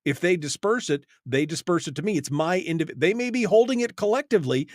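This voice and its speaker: Male American talk radio host, opinionated and emphatic, higher-set voice, dry studio sound. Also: lively intonation, fairly quick, dry recording. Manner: blunt, emphatic, repeats points for stress.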